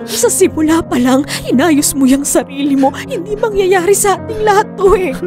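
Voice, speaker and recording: A woman's voice: extremely high pitch, normal speed. A woman acting in a radio drama as a fed-up, defiant unfaithful wife and mother, scolding with emphatic emotion. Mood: angry, accusing. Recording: music bed behind the voice.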